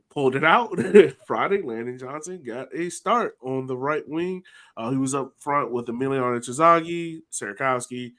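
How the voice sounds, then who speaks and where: A man's voice: mid-range voice, bright, forceful tone. A man hosting a sports podcast in a loose, joking way, with swearing and lots of "like" and "um". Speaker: podcast host. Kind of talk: casual sports podcast chat.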